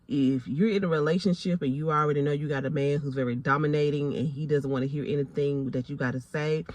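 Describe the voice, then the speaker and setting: A woman's voice: low voice, dry recording. A woman in her forties with a Southern American accent, giving a faith talk to camera in an emphatic, preacherly way, repeating phrases for stress and calling listeners honey. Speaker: faith and lifestyle vlogger.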